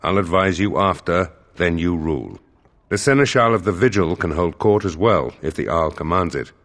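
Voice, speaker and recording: An actor playing a stern court steward in a video game, speaking in a measured, formal and dryly wry way. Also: male voice, low-pitched, a bit bright. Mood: formal, commanding.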